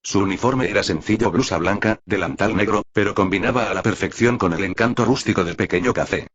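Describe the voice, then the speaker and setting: A man's voice: on the low side, brisk, slightly rough, highs cut off. A man reading scripted fiction aloud as an audiobook-style narrator.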